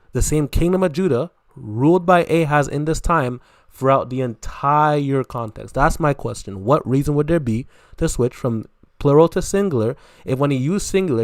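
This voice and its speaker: Insistent man on a debate stream. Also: a little high. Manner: insistent, repeats his question, cuts in. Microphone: headphones plugged in, computer mic.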